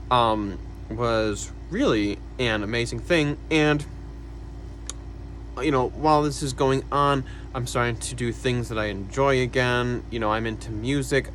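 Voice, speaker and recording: A young man talking casually to camera about his own life, soft-spoken and hesitant, with many fillers and quiet sighs. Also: medium pitch, moderate pace, a little hiss or hum. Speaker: vlogger. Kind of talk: casual personal talk to camera.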